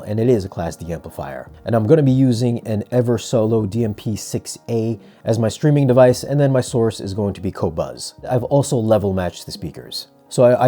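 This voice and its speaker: A man presenting a product review in a conversational, measured, explanatory way. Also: lowish voice, dark tone. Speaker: audio gear reviewer and presenter. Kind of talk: product review talk to camera.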